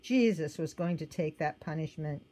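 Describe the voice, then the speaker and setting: A woman with a lowish, soft voice reads a children's storybook aloud. She tells it gently and with expression, and now and then she stops to point out what is happening in the picture. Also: normal speed. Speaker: storybook reader.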